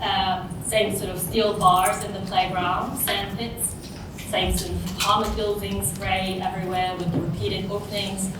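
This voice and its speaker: A woman from South Korea, around her thirties, giving an informal, somewhat halting conference talk built on personal storytelling. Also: mid-range voice, flat delivery. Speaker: conference speaker, social entrepreneur. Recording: distant, very echoey room with clear noise.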